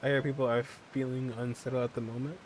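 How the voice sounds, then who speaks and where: A man's voice: mid-range voice, little pitch movement, fast, background noise. A man giving casual let's play commentary in a laid-back way, with muttered asides. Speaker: gamer commenting on gameplay. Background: faint game music.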